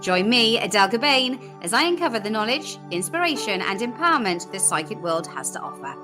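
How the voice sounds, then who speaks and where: A woman's voice: mid-range voice, sing-song, fairly quick, over music. A woman from South Wales hosting a podcast, upbeat and chatty as she asks questions. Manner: upbeat, chatty, laughs easily. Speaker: podcast host. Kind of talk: podcast interview.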